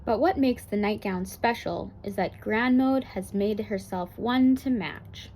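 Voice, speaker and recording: A woman's voice: medium pitch, measured pace, darkish tone, slight background noise. A woman narrating a children's picture book aloud as a bedtime story. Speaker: storybook reader.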